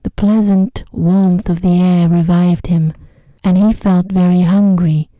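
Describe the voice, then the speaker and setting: A woman narrating a sleep story in a soft-spoken ASMR style, with a hushed, gentle, measured delivery close to the microphone. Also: fairly low pitch, steady tone, no echo, low-quality recording.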